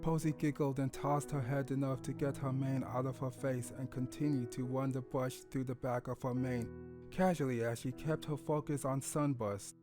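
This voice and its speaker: A man narrating a scripted romantic audio story in a measured storytelling manner. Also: medium pitch, level tone, over music.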